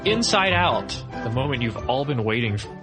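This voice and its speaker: A man's voice: mid-range voice, sing-song, normal speed, over loud music. A young man co-hosting a podcast, talking casually about films and thinking aloud as he goes. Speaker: podcast co-host, animation student. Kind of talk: casual film review chat.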